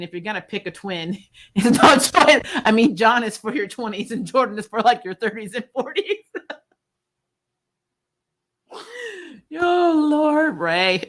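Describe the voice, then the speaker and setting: A woman hosting a casual fan livestream, talking in an animated, blunt, jokey way with some swearing. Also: mid-range voice. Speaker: show host reviewing superhero TV and reading live comments. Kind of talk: casual livestream chat, rambling fan commentary.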